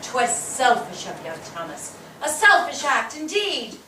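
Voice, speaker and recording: A woman's voice: high voice, very animated, measured pace, bright, forceful tone, slight background noise, heavily acted. A woman playing a stern judge of the afterlife in a staged period drama, probing and reproaching a dead man. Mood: reproachful. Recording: echoey theatre, distant microphone.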